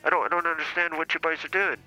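A man's voice: fairly high pitch, fairly even intonation, very fast, sharp tone, soft background music, rough recording. A man voicing a cranky, foul-mouthed older male priest who calls in, blustering and stammering as he accuses the hosts. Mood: indignant. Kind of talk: comedy character call-in.

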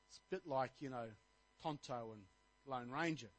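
A man's voice: mid-range voice, a little slow, fairly bright tone. A middle-aged man, preaching a sermon as pastor, rising to emphatic points. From Australia.

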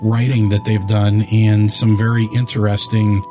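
A man's voice: fairly low pitch, little pitch movement, moderate pace, mellow. A man co-hosting a podcast, talking in a casual, reflective way. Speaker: podcast co-host and writer. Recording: music playing behind the voice. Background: music.

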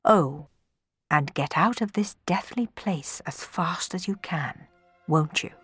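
A woman's voice: on the low side, slow pace, slightly rough, faint music behind. Woman playing a playful, commanding love god. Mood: amused. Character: benevolent, cheerful male god of love.

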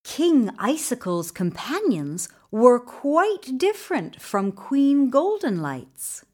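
Slow, expressive woman storyteller. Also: high-pitched. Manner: expressive, deliberate storytelling with dramatic emphasis. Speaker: storybook narrator. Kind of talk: scripted children's story narration.

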